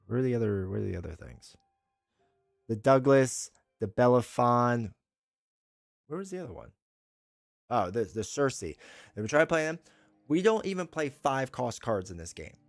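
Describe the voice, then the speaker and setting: A man's voice: medium pitch, big pitch swings, moderate pace, vocal fry, pauses often, no room sound, studio quality, soft background music. A man with a stuffed-up voice from a cold, hosting a casual live stream. He talks in a rambling, opinionated way, often restarting his sentences as he reads and answers chat. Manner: rambling, self-correcting, opinionated. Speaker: streamer host.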